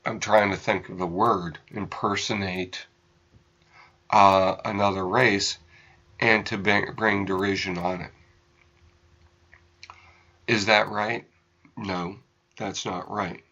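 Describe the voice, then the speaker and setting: Slow, low-voiced American man. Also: steady tone, sharp tone, dull audio. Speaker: radio ministry host. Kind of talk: unscripted political commentary monologue.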